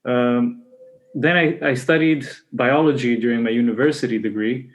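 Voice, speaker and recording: A man's voice: mid-range voice, steady tone, moderate pace. A man from North Macedonia, a PhD graduate, talking earnestly and enthusiastically, with many fillers, in a panel talk.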